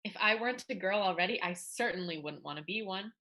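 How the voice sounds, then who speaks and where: A rueful schoolgirl from an old stage play, read by a woman. The voice is bright and keen-edged at a middle pitch. The manner is defiant and frank. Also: fast talker. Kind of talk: dramatic reading of a period play.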